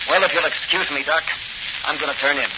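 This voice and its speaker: An actor playing a bold young masked crime-fighter in an earnest, emphatic 1940s radio serial style. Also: male voice, a little high, quick pace, lightly acted. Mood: relieved. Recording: muffled, very noisy old radio recording.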